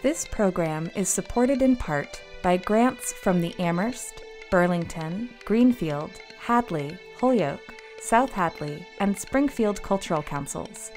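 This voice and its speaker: A woman announcer reading scripted closing credits in a measured, deliberate way. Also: on the low side, lively intonation, darkish tone. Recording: faint music behind voice.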